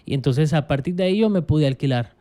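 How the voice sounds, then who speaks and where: A young Salvadoran man, a pastor and guest, telling his life story in a relaxed, reflective interview with frequent restarts. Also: a little high, fast talker.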